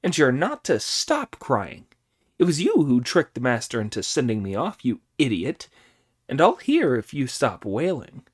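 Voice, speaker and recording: A man's voice: medium pitch, unhurried, breathy edge, exaggerated voice. A man reading an audiobook, voicing a cheeky, boastful male monkey king who bosses the others around. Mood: gleeful. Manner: boastful, commanding, excitable.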